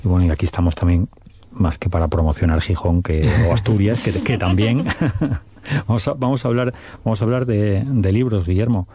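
A man hosting a relaxed interview chat, friendly, laughing often and giving short encouraging replies. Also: on the low side, fairly quick, slightly rough, no room sound. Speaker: host.

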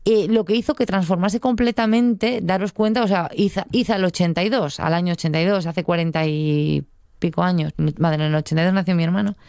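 Chatty Spanish woman with a clear voice. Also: lowish voice, a little fast, darkish tone, dry recording, clean studio sound. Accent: Spain. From Spain. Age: middle-aged. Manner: chatty, informal, digressive. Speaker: book segment contributor on a show. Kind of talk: conversational book review.

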